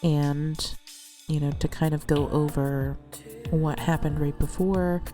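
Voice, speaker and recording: A woman with a slightly congested, cold-affected voice, telling a personal account in a casual, measured storytelling way. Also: low voice, steady tone, warm tone, soft background music. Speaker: host narrating a personal account.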